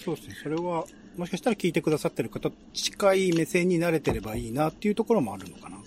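A man's voice: on the high side, lively intonation, fast, a little mellow, slight background noise. A man talking casually and conversationally as co-host of a radio show. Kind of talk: casual chat.

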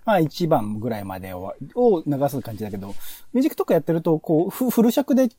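Husky, fast-talking, animated male podcast host. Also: on the high side, dry recording. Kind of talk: casual podcast review chat.

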